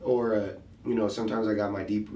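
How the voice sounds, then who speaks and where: A man hosting a casual conversation about music, talking in a relaxed, slangy way and thinking his ideas through out loud. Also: fairly low pitch, fast talker, noisy background. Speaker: podcast host and rapper. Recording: roomy sound, mic set at a distance.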